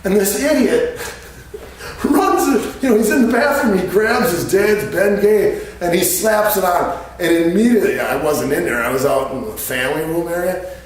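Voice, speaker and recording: A middle-aged man tells a tale in a very echoey garage, standing away from the camera mic. His voice sits a little high for a man, with faint noise underneath. The delivery is casual, colloquial and crudely humorous. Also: normal speed, recorded from a distance. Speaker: storyteller. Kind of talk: casual animated storytelling. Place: garage workshop, indoors. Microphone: camera several feet away.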